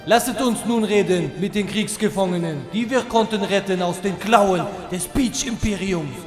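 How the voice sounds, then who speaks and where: A scheming rabbit rebel leader, as a man puts him on with a high voice. He delivers pompous, rabble-rousing speeches that ring through an echoey hall over music. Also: normal speed, lightly acted. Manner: pompous, rabble-rousing, odd word order.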